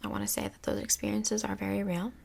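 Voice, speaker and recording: A woman acting as a calm female counsellor from Hell in a soft-spoken ASMR role play. Her voice is breathy and half-whispered, with heavy vocal fry. She picks her words gently and tactfully, pausing as she goes. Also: medium pitch. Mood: reassuring, explaining. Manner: gentle, hesitant, diplomatic therapist talk.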